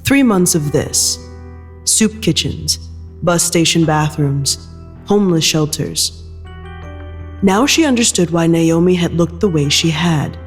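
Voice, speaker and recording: A narrator reading scripted audio fiction in a measured storytelling style. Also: medium pitch, expressive, in a large hall, soft background music.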